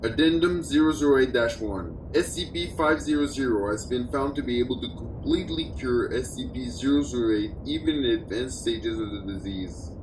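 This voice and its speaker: A man playing a research doctor reading out a classified audio log in a formal, measured, clinical way. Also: close to an everyday voice. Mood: clinical, detached. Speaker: narrator of a fictional audio log. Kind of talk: scripted narration, formal document reading. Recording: roomy, distant mic, noisy with low rumble.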